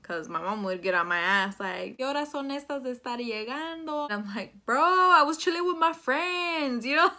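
A woman's voice: sits high, normal speed, hardly any pauses, bright, forceful tone. A woman, telling a personal story in a casual, slangy way, slipping between English and Spanish. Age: 20s. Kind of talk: casual storytime chat to camera. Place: small plain room indoors.

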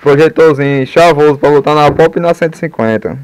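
A man chatting casually and slangily as a motovlogger. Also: fairly quick. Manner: informal, slangy. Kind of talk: casual vlog chat. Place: riding a motorbike on rural dirt roads, later a dim room. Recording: noisy action-camera audio, distant voice, motorcycle rumble.

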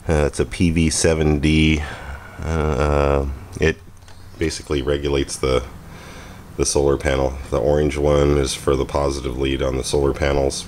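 A man's voice: very deep voice, darkish tone, slight background noise. A man giving a chatty, rambling handheld camera tour with lots of ums and uhs. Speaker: vlogger showing his homemade trailer. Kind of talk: casual handheld walkthrough. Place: outdoors at a parking lot, then inside a small trailer.

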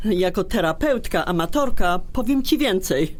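A woman's voice: mid-range voice, animated, normal speed, lightly acted. An actress playing a frank, teasing middle-aged woman friend in a radio drama.

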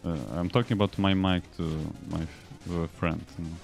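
A young man from Poland with a Polish accent, chatting casually while streaming a game, halting and correcting himself as he reads chat aloud. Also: deep voice, fairly even intonation, vocal fry. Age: young adult. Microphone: desk microphone. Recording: game music behind. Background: faint game music.

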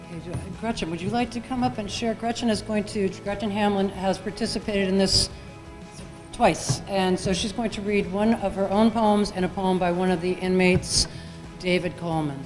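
A woman's voice: medium pitch, steady tone, normal speed, music in the background, poor audio. A middle-aged woman hosting an event, making informal introductions at a podium microphone. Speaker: event host. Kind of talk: informal introductions to a small audience. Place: library meeting room, at podium. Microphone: podium gooseneck microphone.

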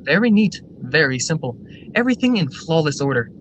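An actor playing a brisk, eager factory general manager in a radio drama, formal and articulate. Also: male voice. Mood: eager storytelling. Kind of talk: stage-style radio drama acting. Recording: noisy radio drama recording, steady rumble.

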